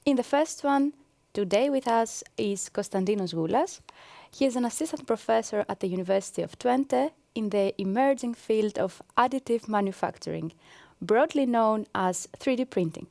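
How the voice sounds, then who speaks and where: Woman interviewer, slightly higher voice, dry close sound, quick simple questions. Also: animated, gravelly, a little mellow, no echo. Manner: friendly, brief prompting questions. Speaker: interview host. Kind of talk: hosting an interview.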